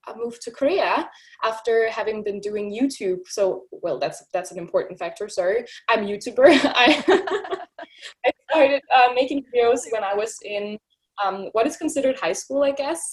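Bubbly young woman chatting. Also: a little high. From Denmark. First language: Danish. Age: early 20s. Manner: chatty and upbeat, laughs often, many fillers. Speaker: guest, YouTuber. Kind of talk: casual podcast interview.

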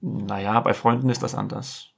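A man's voice: on the low side, fairly even intonation, moderate pace, creaky, husky. A young Icelandic man with an Icelandic accent, speaking German as a second language, chatting with blunt, dry humor. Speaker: podcast guest, host's partner. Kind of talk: casual conversation.

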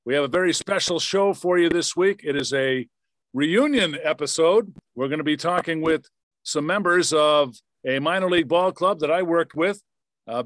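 This is a man hosting a sports interview podcast. His voice sits a little high for a man. The sound is very dry, with no room around it. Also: fairly bright tone, no echo, poor audio. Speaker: podcast host, former radio play-by-play announcer.

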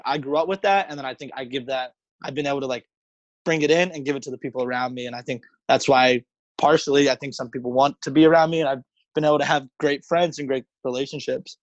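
A man's voice: medium pitch, no echo, highs cut off. Casual young American man, dry close sound. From United States and Egypt. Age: 20s. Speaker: interview guest. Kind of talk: casual conversational interview.

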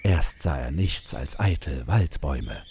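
A man narrates a fairy tale in a very deep, soft and dark voice. He takes his time with each phrase, as a measured storyteller. There is faint noise behind him. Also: expressive, vocal fry.

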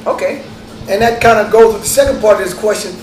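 A man's voice: high voice, fast talker. A man co-hosting a podcast panel, speaking in an easygoing, friendly, slangy way. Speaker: podcast co-host. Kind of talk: casual panel discussion. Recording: echoey room, noisy, mic at a distance.

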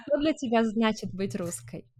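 A woman hosting a podcast, asking curious questions and explaining her ideas in a relaxed, reflective way. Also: on the high side, moderate pace. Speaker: podcast host. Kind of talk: casual conversational interview.

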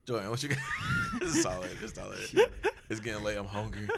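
A man in his thirties hosting a casual group chat, steering it along with quick laughing remarks. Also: on the low side, wildly swooping pitch, creaky, over a noisy crowd. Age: 30s. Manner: rapid, laughing, steering the talk. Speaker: podcast host.

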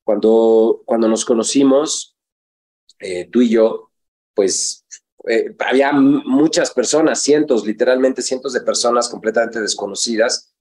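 Male coach guest; measured, reflective delivery full of fillers. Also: mid-range voice, darkish tone. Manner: reflective, with frequent fillers and restarts. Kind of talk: conversational interview.

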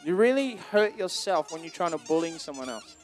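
A man whose first languages are Swahili and French, preaching informally to young people with conversational, animated storytelling and questions to his listeners. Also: fairly high pitch, a little fast, music in the background. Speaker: preacher speaking to a youth group. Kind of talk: informal sermon with personal anecdotes.

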